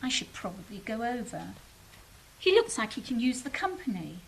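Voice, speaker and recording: A woman's voice: fairly high pitch, big pitch swings, moderate pace, creaky, a little hiss or hum, poor audio. A woman playing a romantic, optimistic angel in an audio drama, effusive, playful and affectionate. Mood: wistful.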